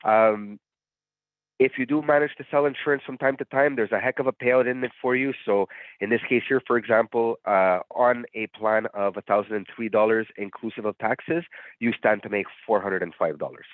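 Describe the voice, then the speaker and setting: A man's voice: on the low side, moderate pace, dry recording. Nasal man with a cold, phone-like sound. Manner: relaxed, explanatory, frequent fillers. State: under the weather, nasal. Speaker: booking platform presenter. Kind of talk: live software demo walkthrough. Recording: dry, phone-like narrow sound.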